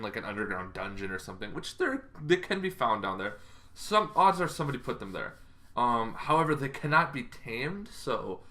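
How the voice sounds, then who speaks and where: A man's voice: medium pitch, bright, forceful tone. A man hosting an informal, unscripted explainer, thinking aloud in a rambling, hesitant way. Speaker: YouTube host giving game lore advice.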